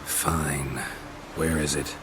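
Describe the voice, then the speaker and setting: An actor playing a gruff, dry-witted middle-aged male monster hunter in a video game, speaking in terse deadpan sentences. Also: a bass voice, drawn-out words, background noise, heavily acted. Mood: wry, sceptical. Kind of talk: video game dialogue acting.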